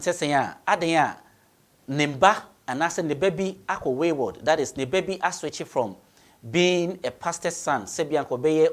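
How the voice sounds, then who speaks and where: A man with a Ghanaian accent hosting radio commentary, giving opinions emphatically, repeating phrases for stress and switching between two languages. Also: fairly high pitch. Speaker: radio show host. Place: radio studio.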